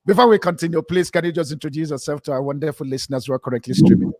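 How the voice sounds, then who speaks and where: A man's voice: a little high, rapid-fire, darkish tone, no room sound, studio quality. A man hosting a live online interview, informal and stumbling, often repeating words as he searches for what to say. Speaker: host.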